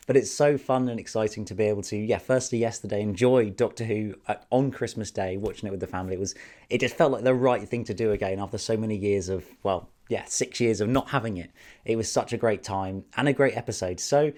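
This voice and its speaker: A man reviewing an episode in a casual, enthusiastic and chatty way, sounding hoarse from a cold. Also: on the low side, fast, a little mellow. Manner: enthusiastic, chatty, many fillers. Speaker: video reviewer.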